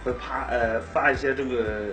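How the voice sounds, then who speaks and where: A man's voice: medium pitch, steady tone, moderate pace. Young man, casual restaurant owner interview. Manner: casual, hesitant, with fillers. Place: inside a restaurant. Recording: interview with music underneath.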